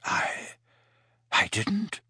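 A man doing a weepy, tipsy old potions master, pitched well above the reader's own voice and swinging widely in pitch. He frets and hiccups through his words, his voice thin and breathy. An audiobook character voice. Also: sing-song, takes it slowly, a bit bright, no echo, heavily acted. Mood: awkward, shaken. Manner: emotional, hiccuping, fretful.

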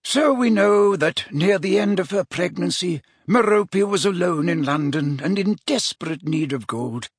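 A man voicing an elderly wizard headmaster for an audiobook, grave but kindly, measured and formal in his phrasing. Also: high voice, breathy edge, dry recording, clean studio sound, voice pushed up. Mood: assured, explanatory. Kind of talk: audiobook character voice.

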